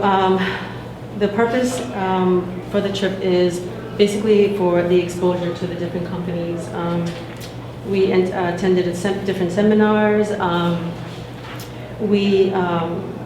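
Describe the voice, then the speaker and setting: A woman's voice: on the low side, level tone, slow pace. A woman, secretary of a student association, giving a report to a meeting with many fillers and restarts. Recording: echoey room with noticeable noise.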